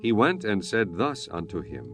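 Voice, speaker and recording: A man with a fairly low voice narrates scripture from a script. Faint music runs underneath his narration. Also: sing-song, normal speed.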